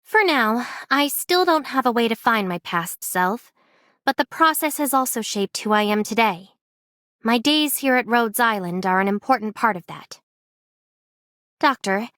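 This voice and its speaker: A woman's voice: fairly high pitch, animated, moderate pace, a bit bright, no echo, studio quality. A woman voicing a composed, loyal swordswoman in a video game. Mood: calm, resolute. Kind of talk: scripted game character voice lines.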